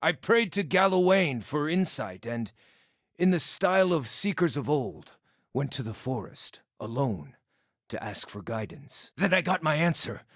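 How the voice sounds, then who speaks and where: An actor voicing a small, scarred outcast druid in a video game, wry, cheeky and theatrical, with a wordy way of talking. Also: male voice, a little high, very animated, measured pace, a bit bright, no room sound, clean studio sound, exaggerated voice. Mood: wry, storytelling. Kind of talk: video game character dialogue.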